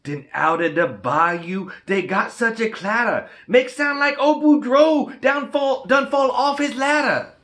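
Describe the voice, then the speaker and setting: A man voicing an excitable, folksy Cajun storyteller, reciting a rhymed Christmas storybook in an exuberant singsong dialect. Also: very high voice, measured pace, hardly any pauses, pitched above the performer's own voice. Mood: excited, amazed. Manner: exuberant, declamatory, singsong rhyming delivery.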